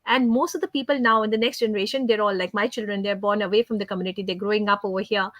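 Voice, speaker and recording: A woman's voice: mid-range voice, steady tone, rapid-fire, fairly bright tone, no room sound. A middle-aged woman from India speaking as a panelist in an online discussion, talking conversationally and often correcting herself mid-sentence.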